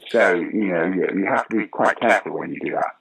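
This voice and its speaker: A man guest recalling a theatre story in a lively, anecdotal way, with many fillers. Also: low voice, a little fast, creaky, background noise. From Britain. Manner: animated, acts out quoted lines, frequent fillers. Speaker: interview guest.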